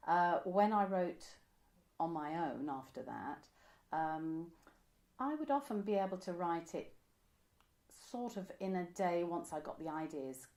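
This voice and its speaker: A woman's voice: fairly low pitch, animated, measured pace, a little mellow. An older woman, reminiscing casually in an interview in a chatty, self-correcting way. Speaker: interviewee, amateur pantomime writer. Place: indoor room by a window, seated.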